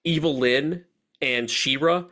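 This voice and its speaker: A man hosting a movie podcast, chatting casually and giving animated opinions with slang and swearing. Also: fairly high pitch, slow. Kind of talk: casual chat, film discussion.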